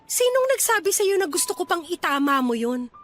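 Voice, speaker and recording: A very high-pitched young woman, played by an actress, in a radio love drama. She is hurt and defiant, swinging widely in pitch as she reproaches her former lover with sarcasm and raw emotion. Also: sing-song, brisk, faint music behind. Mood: sarcastic, indignant. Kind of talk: Tagalog radio drama acting.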